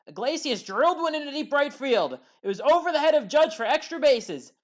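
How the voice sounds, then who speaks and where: A woman giving a scripted play-by-play recap of baseball highlights in a measured, factual way. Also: animated. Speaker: highlights commentator.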